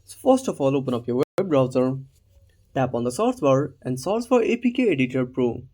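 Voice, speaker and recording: A man's voice: medium pitch, big pitch swings, normal speed, mellow. A man narrating a scripted step-by-step tutorial as its host.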